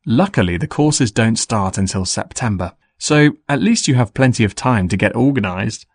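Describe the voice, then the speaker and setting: An actor playing a friendly, busy young business student in a clearly spoken, scripted listening-exam dialogue. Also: male voice, medium pitch, lively intonation, moderate pace, dry recording. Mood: encouraging.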